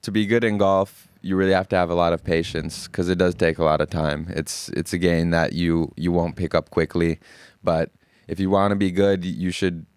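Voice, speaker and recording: A young man from Finland with an American accent, calmly explaining things as an interview guest and often repeating words. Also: deep voice, normal speed, few pauses, smooth, no room sound, clean studio sound. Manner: calm, even explanations with frequent word repeats. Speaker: guest, golf caddie. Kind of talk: casual interview answers.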